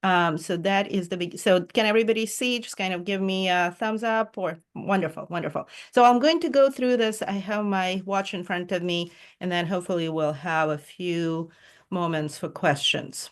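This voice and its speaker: A woman's voice: medium pitch, normal speed. A woman leading a reading group over a Zoom call, talking in a chatty, enthusiastic way with frequent ums and small laughs. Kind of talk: casual Zoom presentation. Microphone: video call.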